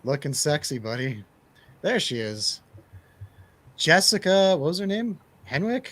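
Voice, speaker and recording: A man's voice: on the high side, sing-song, a little slow, fairly bright tone. A man in casual movie review conversation, talking in an enthusiastic, slangy way. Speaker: film review show host.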